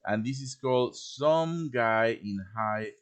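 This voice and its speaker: A man hosting a casual step-by-step software tutorial on a live stream, thinking aloud informally as he works. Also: mid-range voice, expressive, slow pace, clean tone. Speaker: live stream host teaching quest making. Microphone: computer microphone on a live stream voice chat.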